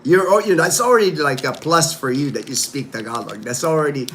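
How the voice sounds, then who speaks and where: Man, livestream host, casual chat, rambling, filler-heavy, slightly high voice, faint background hum. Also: expressive, a little fast, fairly bright tone. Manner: rambling, loose talk full of "you know", "like" and "man". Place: his home in the Philippines.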